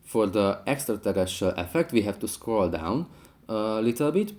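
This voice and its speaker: A man with a fairly low voice presents a tech tutorial. He walks through an app step by step as an instructional narrator. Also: very animated, normal speed, a little mellow.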